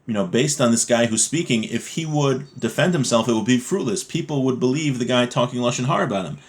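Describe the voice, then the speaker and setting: A man's voice: mid-range voice, animated, quick pace. A man with a mid-range voice teaches a religious class informally. He explains step by step in a conversational manner, checking in with the listener as he goes. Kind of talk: informal lesson reading Hebrew text and explaining it in English.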